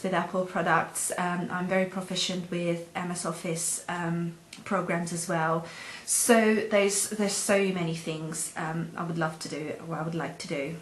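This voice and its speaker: Hesitant woman, echoey room. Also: on the low side. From Hungary. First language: Hungarian. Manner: hesitant, many ums and uhs. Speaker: job seeker. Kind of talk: self-introduction video to employers. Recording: echoey room, faint background hum.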